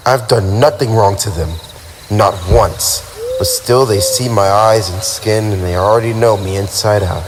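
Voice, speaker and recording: A man's voice: low-pitched, noisy background, lightly acted. A man playing a lonely, centuries-old vampire with wounded pride, speaking in wistful, formal, theatrical phrases in an ASMR roleplay. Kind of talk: ASMR roleplay monologue addressed to the listener. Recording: night ambience with crickets and owls.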